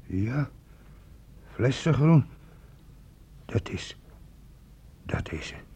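A man's voice: mid-range voice, big pitch swings, drawn-out words, gravelly, dark tone, slightly rough, full character voice. An actor playing an uneasy, gossiping soldier in a radio drama, hesitant and ominous as he reports to his captain. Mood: uneasy, secretive.

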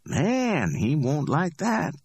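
A man's voice: a little high, huge pitch swings, darkish tone, breathy edge, no echo, voice pushed up, heavily acted. A man voicing a cocky middle-aged hustler who teases and drawls his words. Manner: teasing, drawn-out, challenging.

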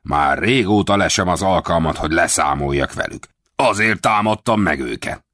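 A man's voice: low voice, a bit bright, dry recording, studio quality. A man voicing a scheming, gloating male bandit leader in a mocking tone. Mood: dismissive.

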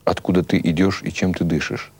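A man's voice: low voice, flat delivery, a little fast, darkish tone, slightly rough, no echo. A man doing a stern one-eyed gang boss. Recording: music behind the voice.

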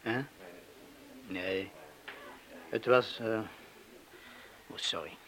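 An actor playing a haunted, distracted man in a radio play, speaking in an absent, halting way. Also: fairly low pitch, fairly bright tone, slight background noise, full character voice. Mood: distracted, evasive. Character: haunted, distracted, dishevelled man with stolen stethoscope.

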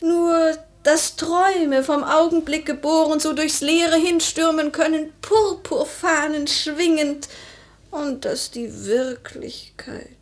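A melancholy noble lady in a classic radio play. Her voice sits high and she draws out her lines slowly. The delivery is theatrical and lyrical, pensive yet impulsive. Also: fairly even intonation. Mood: tender, wistful. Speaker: radio drama actress in a leading part. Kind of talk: mid-century German verse radio play acting.

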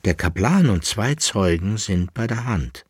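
A man's voice: on the low side, animated, unhurried, husky, dry recording, clean studio sound, full character voice. A man voicing a resolute young noblewoman in an audiobook, speaking firmly and formally, with a commanding, secretive manner. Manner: firm, hushing, formal old-fashioned speech.

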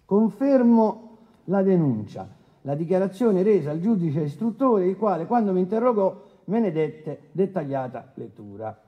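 Brusque, paternalistic courtroom judge. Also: male voice, high voice, big pitch swings, normal speed, dull audio. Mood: probing. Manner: interrupting, hesitant filler-laden questioning, impatient. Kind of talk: courtroom docudrama radio acting.

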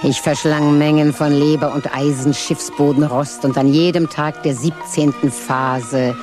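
A woman's voice: low voice, steady tone, measured pace, over music. A woman in a radio drama speaking in a dry, matter-of-fact way, later declaiming a strange incantation. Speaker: radio drama lead character.

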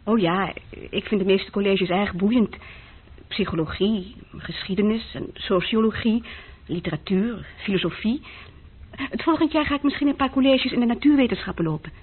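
An actress playing an earnest, thoughtful young female university student in a radio drama, hesitant and gently frank. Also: medium pitch, moderate pace, slightly rough, natural voice. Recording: muffled old radio recording.

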